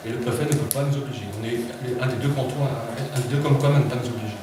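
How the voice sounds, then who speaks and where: A man's voice: medium pitch, steady tone, very fast. A man explaining his points as a council member in an informal meeting. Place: indoor council meeting room. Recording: echoey meeting room, distant mic, noisy.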